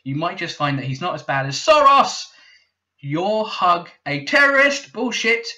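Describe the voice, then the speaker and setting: A British man voicing a ranting, angry male internet commenter, loud and indignant. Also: sits high, big pitch swings, a little slow, pitched above the performer's own voice, exaggerated voice. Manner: shouty, indignant, escalating.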